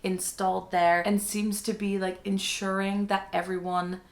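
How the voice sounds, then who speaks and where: A woman's voice: mid-range voice, little pitch movement, unhurried. A young woman from the Netherlands, a native Dutch speaker, talking casually and chattily to viewers in a book haul vlog. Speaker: book reviewer and video host.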